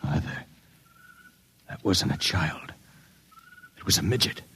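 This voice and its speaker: A man's voice: very deep voice, expressive, slow, bright, forceful tone, breathy edge, heavily acted. An actor playing a wry, inquisitive man who probes in clipped, conversational lines, in a 1950s radio drama. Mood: tense, hushed. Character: inquisitive, wry male patient and undercover investigator. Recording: slightly noisy vintage radio recording.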